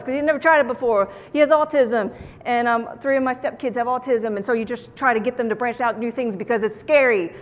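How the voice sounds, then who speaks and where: A woman giving a motivational keynote talk to an audience on stage, with an animated, theatrical delivery and playful emphasis. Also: fairly high pitch. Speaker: keynote speaker. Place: large indoor conference room, on stage. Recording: echoey conference hall sound.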